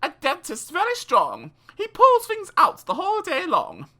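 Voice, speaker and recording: A woman voicing a gutsy, no-nonsense mother in a storybook, with a crisp manner. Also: very high-pitched, wildly swooping pitch, a little slow, pushed and bright, pitched above the performer's own voice, full character voice. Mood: matter-of-fact.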